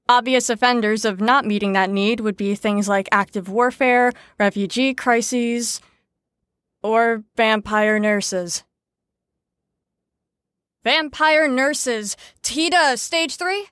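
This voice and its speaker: An actress playing a brisk, sarcastic teacher in an audio drama, lecturing in an articulate, wry way. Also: female voice, fairly high pitch, fairly bright tone, no room sound, clean studio sound. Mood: didactic, patient. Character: brisk, sarcastic female teacher explaining psychology theories. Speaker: lecturer leading a group lesson.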